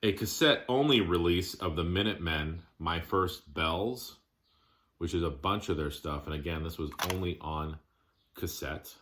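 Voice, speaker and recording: Very deep, bright-voiced man presenting casually. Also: measured pace, a little hiss or hum. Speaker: music collector showing his collection. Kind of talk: casual show-and-tell vlog.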